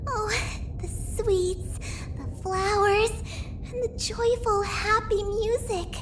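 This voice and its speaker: A woman voicing a sweet, earnest young female geologist in a video game, soft-spoken, gentle and a little dreamy. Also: extremely high pitch, background noise. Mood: cheerful, earnest. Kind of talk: video game character voice lines.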